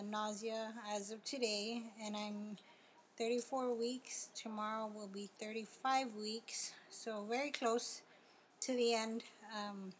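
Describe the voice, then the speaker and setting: A woman in casual podcast talk, speaking plainly and hesitantly with many pauses. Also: a little high, slight background noise.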